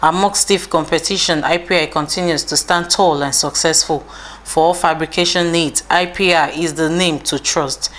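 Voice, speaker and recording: A woman narrating a scripted corporate feature in a smooth promotional read. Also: low-pitched, fairly even intonation, moderate pace, fairly bright tone.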